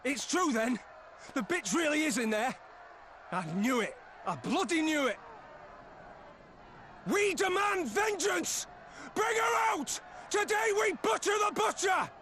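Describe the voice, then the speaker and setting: Enraged male rabble-rouser, sharp, extremely high and accusatory, faint clamour behind, fantasy game. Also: animated, slow, pauses often, a little hiss or hum, heavily acted. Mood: furious. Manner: shouting, accusatory. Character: furious vengeful male mob ringleader, fantasy commoner.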